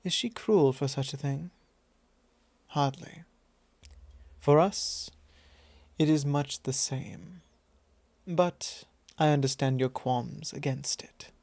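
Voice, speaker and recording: A man playing a slow, creaky, suave vampire lord. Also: mid-range voice, a little mellow, no room sound. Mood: persuasive, firm. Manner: measured, courtly, teasing. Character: suave, possessive male vampire lord, softly commanding. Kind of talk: ASMR roleplay, intimate.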